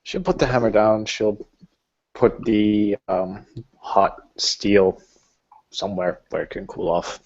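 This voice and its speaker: Low-voiced man narrating haltingly. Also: darkish tone. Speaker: game master. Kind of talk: improvised tabletop game narration. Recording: dull, lo-fi audio.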